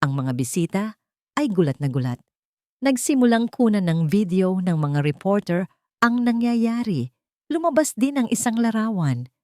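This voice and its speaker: A woman's voice: mid-range voice, big pitch swings, moderate pace, darkish tone, no echo, studio quality. A woman narrating a scripted drama in the first person as an audiobook storyteller.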